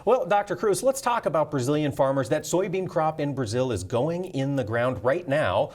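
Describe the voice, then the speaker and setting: A man's voice: a little high, animated, moderate pace, darkish tone. A man hosting a farm news program, introducing guests and asking questions in an upbeat presenter manner. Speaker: news show host. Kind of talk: broadcast interviewing.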